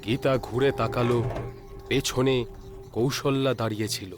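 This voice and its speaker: A man narrating a scripted horror story in a measured, dramatic storytelling manner. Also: medium pitch, fairly even intonation, over music.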